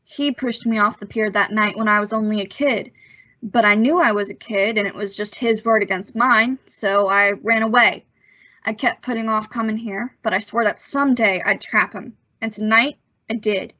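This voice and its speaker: A woman's voice: mid-range voice, steady tone, moderate pace, bright, forceful tone, no echo, dull audio, close to an everyday voice. A woman playing an earnest, plucky teenage boy in an old-time radio suspense play, with a hesitant gee-whiz manner. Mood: earnest recounting.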